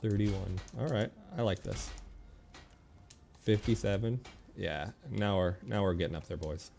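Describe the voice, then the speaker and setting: A man giving casual video game commentary, thinking aloud in a relaxed, muttering way as he makes decisions. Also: lowish voice, measured pace, gravelly, slight background noise.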